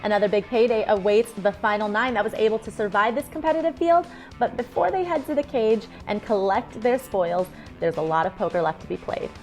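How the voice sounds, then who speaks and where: A woman's voice: mid-range voice, brisk, darkish tone, faint music behind. A woman co-hosting a poker broadcast, giving sports-style commentary and analysis.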